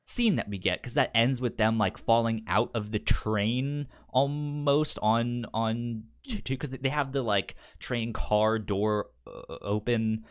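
A man's voice: mid-range voice, takes it slowly, hardly any pauses, clean tone. A man in a casual film discussion as a podcast co-host, often stammering and repeating the first sounds of words.

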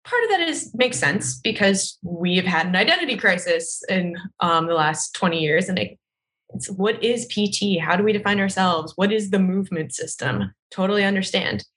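An American woman speaks conversationally in a medium-pitched voice. She is articulate and earnest, building her answer in full thoughts and leaning on key points with emphasis. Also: animated, normal speed, fairly bright tone. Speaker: physical therapy clinician.

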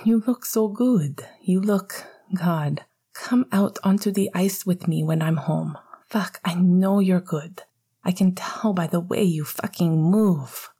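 A woman whispering the lines of an eager young male hockey player, breathless and cursing, in a husky voice. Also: high-pitched, slow, dark tone, exaggerated voice. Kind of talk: whispered audiobook reading.

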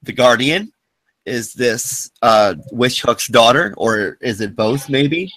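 A man hosting a casual fan podcast, rambling with lots of fillers and restarts, his voice getting hoarse. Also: medium pitch, takes it slowly, a bit bright, dry recording, poor audio. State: losing his voice. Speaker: podcast host.

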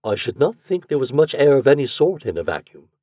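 Man playing a cool, guarded secretary, husky. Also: medium pitch, sing-song, mellow, no room sound, studio quality, voice pushed up. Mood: measured, skeptical. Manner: measured, reserved, cool.

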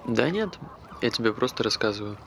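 Anxious self-deprecating man, creaky voice, hesitant earnest delivery, naturalistic stage drama, faint background noise. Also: on the low side, sing-song, brisk, fairly bright tone, heavily acted. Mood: nervous, confessional. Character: anxious, self-deprecating man recounting his failed suicide.

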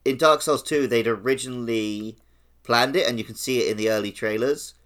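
A man's voice: medium pitch, a little fast, a bit bright. A man speaking casually and informally as a podcast co-host in a gaming discussion.

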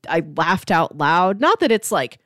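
A woman's voice: lowish voice, big pitch swings, a little slow, a bit bright, no room sound, studio quality. A woman co-hosting a casual review discussion, thinking aloud in a conversational, hedging way. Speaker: podcast co-host reviewing comics.

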